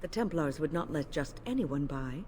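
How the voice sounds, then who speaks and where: An actress playing a grave, protective older female mage, speaking in a formal, measured way in a video game. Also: low-pitched, animated, dark tone, slight background noise.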